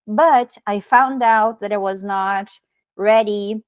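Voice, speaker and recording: A woman in her thirties from Brazil with a Brazilian Portuguese accent, a podcast guest telling her story in casual, animated chat. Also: medium pitch, slow, clear, resonant tone, no echo, clean studio sound. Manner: many fillers and restarts, animated storytelling.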